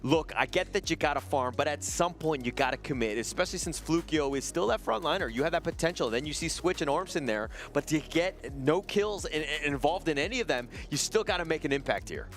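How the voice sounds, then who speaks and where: Male esports analyst: rapid, lively delivery, slightly higher pitch, teasing desk banter. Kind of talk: post-game analysis desk chat.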